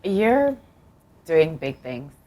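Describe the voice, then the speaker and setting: A woman's voice: sits low, big pitch swings, extremely slow, a little mellow. Effusive woman from Zimbabwe hosting. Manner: effusive, encouraging, laughs often. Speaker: host. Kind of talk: warm interview chat.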